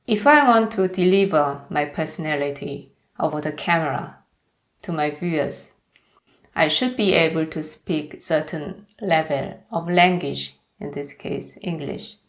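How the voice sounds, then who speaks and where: A woman's voice: sits low, a little slow. A young woman with a Korean accent, talking to camera in a personal vlog with careful, hesitant delivery. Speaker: YouTuber.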